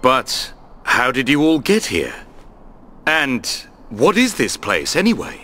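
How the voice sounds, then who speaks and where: Protective brother, warrior, grave, tender, slow, very expressive, clear background music, video game. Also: male voice, mid-range voice, heavily acted. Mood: wary, puzzled. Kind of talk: video game cutscene acting.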